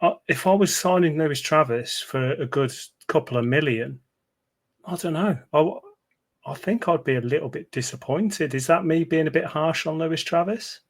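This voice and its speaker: A man's voice: a little high, moderate pace. A man from northern England with a Birmingham accent, co-hosting a casual football chat in a chatty, hesitant way. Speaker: football podcast co-host.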